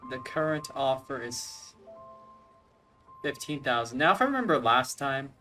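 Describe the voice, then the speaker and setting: Bright-voiced man doing casual game commentary. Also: mid-range voice, lively intonation, a little slow, faint music behind. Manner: informal, slangy, thinking aloud. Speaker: let's play host.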